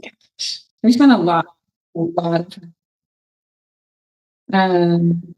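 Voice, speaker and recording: A woman's voice: lowish voice, drawn-out words, a little mellow, low-quality recording. A middle-aged woman, a fitness trainer, answering interview questions in a hesitant, casual way and laughing often.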